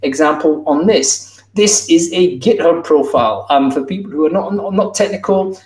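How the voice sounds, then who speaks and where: A man's voice: on the high side, lively intonation, normal speed, a little mellow. A man in his thirties giving a conference keynote over a video call, talking in a loose conversational way with fillers and restarts. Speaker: keynote speaker. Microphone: video call, wired earbuds. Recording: roomy, distant video-call sound.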